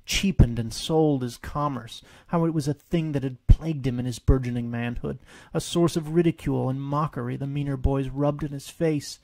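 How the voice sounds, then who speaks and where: Male storybook narrator: mid-pitched, gravelly with vocal fry, mellow delivery of scripted prose. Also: steady tone, moderate pace, few pauses, no echo. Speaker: author reading his own story.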